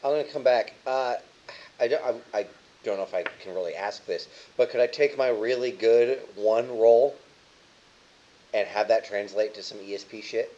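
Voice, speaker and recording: A man's voice: on the low side, moderate pace, mellow, highs cut off, slight background noise. A man chatting casually as a tabletop game player, swearing and joking. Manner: profane, joking.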